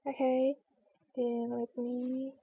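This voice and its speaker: A woman streamer with a high voice and little rise or fall in it. Her delivery is casual, suited to chatting or reading a story aloud. The recording sounds a bit dull and muffled. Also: flat delivery, slow, husky.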